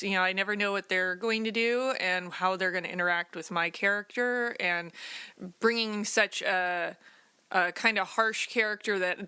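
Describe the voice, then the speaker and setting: A woman giving thoughtful, measured answers in a casual discussion as a game player. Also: medium pitch, pushed and bright, clean tone, no echo. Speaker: player on a tabletop game podcast.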